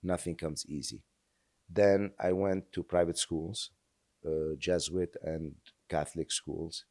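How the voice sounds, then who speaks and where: Deep-voiced man in his sixties. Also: fairly even intonation, a little mellow, dry recording, clean studio sound. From Lebanon. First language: French. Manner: earnest, halting with many fillers. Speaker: guest. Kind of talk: reflective interview storytelling.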